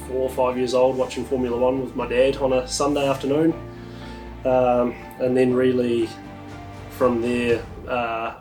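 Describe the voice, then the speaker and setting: A man's voice: medium pitch, rough recording. A thirty-year-old man, eagerly recalling his start in racing for a documentary interview. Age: 30s. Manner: enthusiastic, rambling recollection. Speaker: drift driver interviewee. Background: guitar music.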